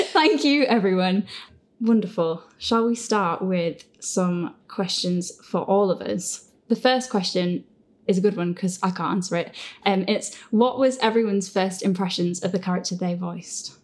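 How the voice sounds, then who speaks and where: A woman's voice: medium pitch, measured pace. A woman hosting a casual group Q&A in a living room, with a giggly, informal manner. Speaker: host and show creator.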